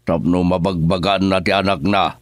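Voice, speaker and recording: An actor playing a stern older father in a radio drama, gruff and commanding, with an Ilocano accent. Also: sits low, steady tone, moderate pace, no echo, clean studio sound, natural voice. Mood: worried. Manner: gruff and commanding, shouting in anger. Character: stern older father angry at son-in-law.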